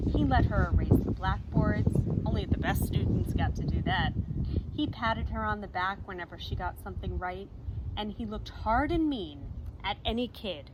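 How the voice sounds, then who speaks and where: A woman reading a storybook aloud expressively in a teacherly manner, pausing to explain and stress the moral. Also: dull audio. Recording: clearly noisy recording with ambient rumble.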